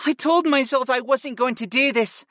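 A man voicing a tearful, affectionate young woman mage in an audiobook, speaking softly and emotionally through tears. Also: very high voice, normal speed, no echo, studio quality, voice pushed up. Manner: soft, tearful and pleading. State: crying. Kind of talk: audiobook character voice.

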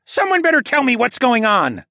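A man's voice: very high-pitched, huge pitch swings, normal speed, vocal fry, bright, forceful tone, no echo, clean studio sound, voice pushed up, heavily acted. A man voicing an indignant, commanding male snow leopard demi-human of royal rank in a fantasy audiobook. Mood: alarmed, demanding. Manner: demanding, snapping.

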